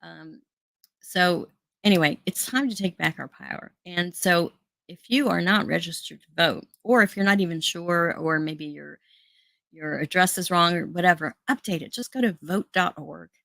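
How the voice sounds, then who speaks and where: Woman, American, host, low voice, dry close sound, earnest casual chat, many fillers. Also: moderate pace, vocal fry, sharp tone, no room sound. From United States. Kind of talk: casual talk to viewers.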